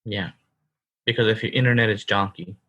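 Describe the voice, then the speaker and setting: A man's voice: on the low side, level tone, brisk, bright, forceful tone, muffled recording. A man in his thirties co-hosting a casual podcast, emphatic and calling his co-host bro. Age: 30s. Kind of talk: casual chat.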